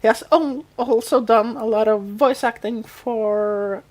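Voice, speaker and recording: Casual woman podcaster with a higher-pitched voice. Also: a little slow, a little mellow. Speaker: podcast co-host. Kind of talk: casual chat about a TV show.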